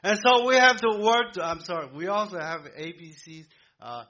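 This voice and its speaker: A man born in Korea, preaching a sermon to a congregation in an emphatic way, with halting restarts. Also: high-pitched, expressive, pushed and bright, highs cut off. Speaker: pastor.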